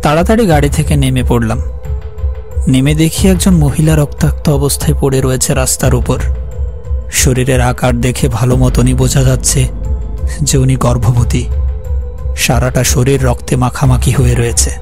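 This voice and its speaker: A man's voice: mid-range voice, fairly even intonation, moderate pace, pauses often, warm tone, close to an everyday voice. A man playing an anxious, guilt-haunted office worker who tells his horror story with uneasy earnestness. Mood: haunted, recounting. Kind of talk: dramatic horror story narration and dialogue. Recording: music behind the voice.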